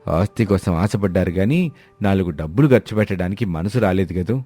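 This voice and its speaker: Deep-voiced indignant older landlord played by a man. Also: animated, brisk, dark tone, soft background music. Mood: indignant scolding. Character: older male landlord uncle, hungry, blunt, indignant. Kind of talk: dramatised Telugu moral story acting.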